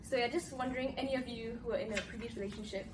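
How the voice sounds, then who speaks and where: A young woman with a fairly high voice leads a casual panel discussion and moves things along. She sits away from the mic in a roomy, echoing space, with steady room noise underneath. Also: racing, distant mic. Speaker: host.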